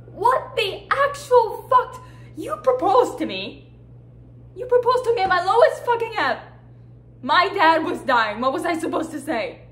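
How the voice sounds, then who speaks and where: A woman's voice: on the high side, steady tone, moderate pace, a bit bright, close to an everyday voice. A woman acting a dramatic scene as a furious, scornful wife, with profane, contemptuous outbursts. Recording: echoey room with faint hiss.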